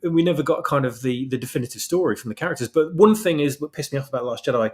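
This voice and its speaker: A man's voice: mid-range voice, animated, rapid-fire, a little mellow. A man giving emphatic opinions in a casual discussion, often repeating his phrases for weight. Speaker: guest, fan-fiction writer.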